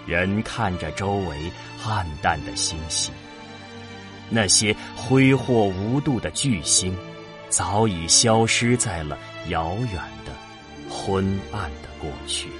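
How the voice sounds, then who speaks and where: A man narrating a science fiction audio drama in a solemn, deliberate, dramatic manner. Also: low-pitched, expressive, music in the background.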